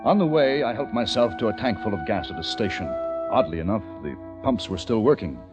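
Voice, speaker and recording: Old radio drama lead, male actor: desperate lone plague survivor, American, low and expressive, theatrical pauses, music underneath. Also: lightly acted. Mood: haunted, grim. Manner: dramatic, clipped phrases with heavy pauses. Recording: vintage radio recording, dull sound, orchestral score. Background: dramatic orchestral music with brass.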